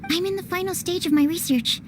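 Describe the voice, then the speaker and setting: A woman's voice: extremely high pitch, fairly quick, noisy background. A woman playing a shy, earnest young female researcher in a video game, speaking in a hesitant, precise and formal manner. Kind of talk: animated game voice acting.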